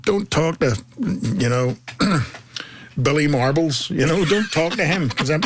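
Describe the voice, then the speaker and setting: A man's voice: on the high side, lively intonation, a little slow, a little hiss or hum. A middle-aged man with a Belfast accent chatting casually as a host, reading out messages and telling jokey anecdotes, acting out what people said. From Northern Ireland. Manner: jokey, acting out reported remarks. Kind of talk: casual comic chat and anecdotes.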